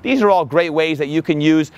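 Man, fitness coach, energetic talk to camera, slightly high voice, outdoor lapel recording. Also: brisk, dull audio. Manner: energetic, upbeat, talks with his hands. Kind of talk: direct-to-camera tips. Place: outdoors by a building in sunshine. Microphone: lapel mic.

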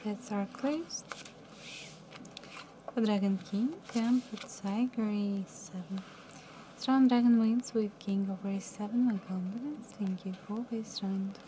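A young woman working as a live casino card dealer, calling each round in a soft, mumbly, calm and polite way from a studio table. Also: medium pitch, unhurried, a little hiss or hum, rough recording. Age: young adult. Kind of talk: announcing card game rounds.

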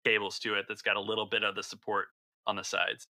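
A man's voice: lowish voice, little pitch movement, fast, vocal fry, pushed and bright, no room sound, clean studio sound. A man narrating an improvised tabletop game as game master, describing scenes in a conversational way.

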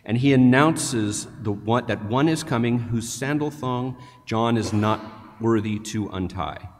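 An older man, a deacon, delivering a measured homily read from a prepared text at a lectern in a large cathedral. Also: mid-range voice. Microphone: headset mic, standing at a lectern. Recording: long reverb of a large church.

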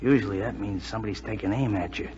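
An actor playing a wary American serviceman in a 1940s radio drama, talking colloquially and haltingly. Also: fairly low pitch, close to an everyday voice. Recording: muffled, noisy, echoey 1940s radio recording.

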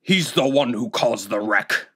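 An actor playing a wrathful, grief-maddened warrior king in a fantasy audio drama, with a gravelly growl and slow, forceful, menacing delivery. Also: medium pitch, expressive, heavily acted. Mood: raging, contemptuous.

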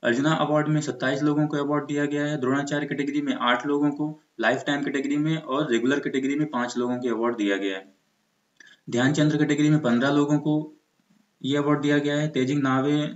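An Indian man teaching exam preparation in an explanatory lecture style, mixing in English terms. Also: mid-range voice. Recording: muffled, echoey room recording.

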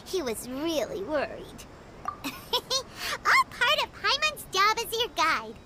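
A woman voicing a tiny, excitable fairy girl guide in a video game, with a squeaky, bubbly manner. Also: slow pace, noisy background, exaggerated voice. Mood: cheerful. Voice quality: squeaky, childlike. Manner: bubbly, speaks of herself in third person. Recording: game music behind the voice. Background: background music.

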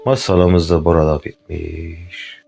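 A man gently telling a fairy tale to a child, addressing the listener directly. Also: low voice, level tone, slow pace, gravelly. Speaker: storyteller. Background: faint flute music.

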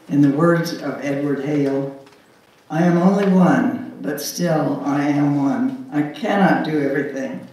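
An older woman speaks as a worship leader in a formal, measured reading style. Her voice carries through a very echoey sanctuary and is picked up from a distance, with faint noise in the room. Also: low-pitched, dark tone. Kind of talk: formal responsive reading and service announcements. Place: church sanctuary, indoors. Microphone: pulpit microphone, recorded by far camera.

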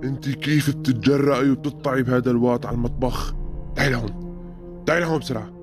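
A man's voice: mid-range voice, steady tone, quick pace, over music, lightly acted. A man playing a stern, eerie figure in a sketch, scolding and giving commands. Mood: stern, menacing.

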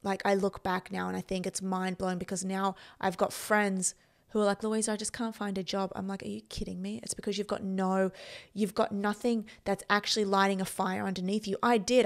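Gravelly Australian woman, emphatic. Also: mid-range voice, flat delivery, brisk. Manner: emphatic, self-assured. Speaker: podcast host and performance coach. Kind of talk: motivational personal storytelling to camera. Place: indoor room. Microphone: podcast microphone on boom arm, close up.

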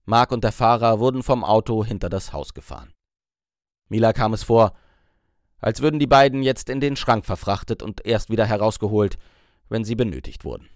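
A man's voice: fairly low pitch, normal speed, no echo, studio quality. A man reading a story aloud as an audiobook narrator in scripted storytelling style.

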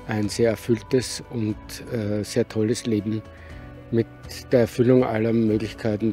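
An older man giving reflective, measured answers in an outdoor street interview. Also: on the low side, dark tone. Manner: measured, reflective, some hesitations. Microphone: handheld microphone held by interviewer.

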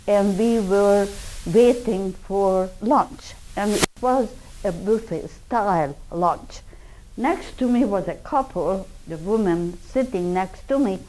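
An older woman with a Hungarian accent, speaking in a deliberate, storytelling way in an indoor lecture room. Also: mid-range voice, a little mellow, slight background noise, rough recording. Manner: slow, deliberate storytelling with broken English grammar. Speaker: public speaker. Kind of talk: personal testimony talk.

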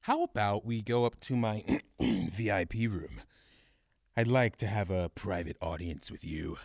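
An actor playing a suave, flirtatious mobster nightclub owner in an audio drama, theatrical and smooth-talking. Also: deep voice, measured pace, darkish tone, dry recording, clean studio sound. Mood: oily charm.

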